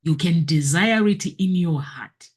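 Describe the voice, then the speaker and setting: A woman's voice: lowish voice, unhurried, darkish tone, breathy edge. A woman hosting a motivational video, talking earnestly to her viewers and repeating her key phrases.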